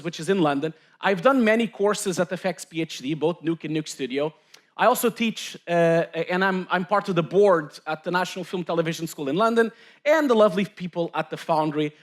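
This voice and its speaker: A man's voice: sits high, a bit bright. A middle-aged man from Portugal giving a joking, chatty conference presentation to a live audience. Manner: self-mocking, chatty, many fillers.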